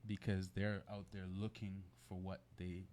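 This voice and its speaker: Male talk show guest, slightly low voice, informal and slangy, conversational, often seeking agreement. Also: little pitch movement, unhurried, darkish tone. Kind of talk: casual conversational interview answers.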